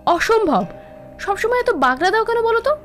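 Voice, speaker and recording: High-pitched spiteful nagging young wife. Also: female voice, sing-song, moderate pace, fairly bright tone, faint music behind, full character voice. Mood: demanding, nagging. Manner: shrill, nagging, dramatic complaining. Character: spiteful scheming young village wife and sister-in-law. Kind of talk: animated cartoon moral-story acting.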